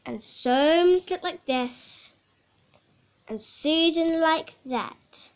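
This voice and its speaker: A woman reading a children's picture book aloud in a theatrical, measured way, with dramatic emphasis. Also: sits high, expressive. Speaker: story reader.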